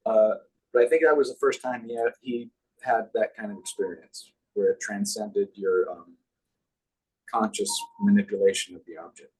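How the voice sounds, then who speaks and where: A man with a deep voice, chatting casually as an interview guest and reminiscing in an animated storytelling way. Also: normal speed, rough recording. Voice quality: deep, husky. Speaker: guest, puppeteer.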